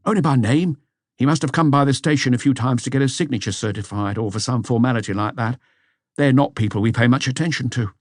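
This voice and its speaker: A man's voice: mid-range voice, quick pace, no room sound, studio quality, pitched above the performer's own voice, natural voice. A man voicing a hesitant, deferential police inspector giving a report in an audiobook. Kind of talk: audiobook character dialogue.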